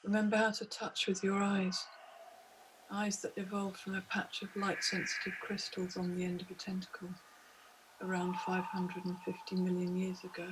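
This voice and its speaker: Female meditation guide, very monotone and measured, hypnotic pauses, over noticeable ambient noise. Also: lowish voice. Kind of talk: guided meditation, scripted narration.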